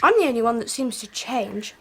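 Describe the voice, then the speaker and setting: Earnest Victorian girl of twelve, English, polite but defiant, high animated voice, radio play. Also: fairly quick, breathy edge. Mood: fond, polite. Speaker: actor in a radio drama. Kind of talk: 1990s British radio drama acting.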